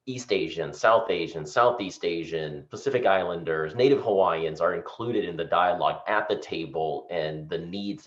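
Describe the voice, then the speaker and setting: A man who was born in Singapore and grew up in California, a nonprofit leader speaking as a panelist on a video call, in a measured, reflective way. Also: low-pitched, roomy sound, lo-fi sound. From Singapore, raised in California.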